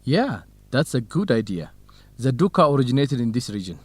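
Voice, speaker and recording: A hospitable young man in a radio drama. His pitch moves in a very lively way as he explains things helpfully, recorded dry and close. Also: normal speed, slightly rough, no room sound. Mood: helpful, friendly. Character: warm, hospitable young Ethiopian man, student researcher. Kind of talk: scripted educational radio drama dialogue.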